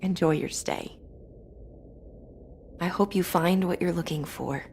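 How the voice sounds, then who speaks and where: A woman's voice: fairly low pitch, a little slow, vocal fry, a little mellow, slight background noise. A woman playing a terse, knowing character in a noir video game. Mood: cool.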